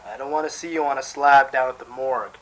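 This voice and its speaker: A man's voice: medium pitch, fast talker, a bit bright, natural voice, poor audio. A man playing a cautious, concerned police officer in a noir radio drama, speaking firmly and earnestly. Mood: reluctant concern.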